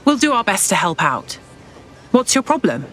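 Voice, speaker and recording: An actress playing an earnest, eager young resistance recruiter in a video game cutscene. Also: female voice, medium pitch, big pitch swings, moderate pace, fairly bright tone, faint music behind. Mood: earnest, concerned.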